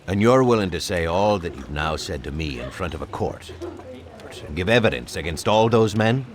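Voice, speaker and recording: A skeptical detective in a game, lowish voice, pressing questions. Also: male voice, expressive, moderate pace, crowd noise. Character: probing male police detective. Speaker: game character.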